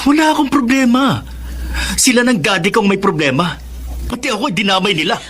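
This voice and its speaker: An actor playing a troubled, resentful teenage son in a Filipino radio drama, sounding aggrieved and halting when upset. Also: male voice, high-pitched, lively intonation, fairly bright tone. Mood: defensive, angry.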